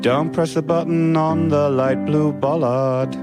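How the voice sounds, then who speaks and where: A man plays a long-suffering British zookeeper in a radio comedy song. The singing is fairly high and unhurried, lilting and resigned, over prominent guitar music. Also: fairly even intonation, natural voice. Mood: weary, exasperated.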